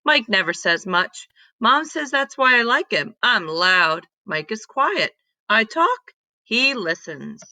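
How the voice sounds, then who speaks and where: Bright-voiced woman reading a children's story, unhurried. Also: fairly high pitch, no echo. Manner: deliberate, animated reading with emphatic exclamations. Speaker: read-aloud storyteller. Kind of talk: expressive children's story narration.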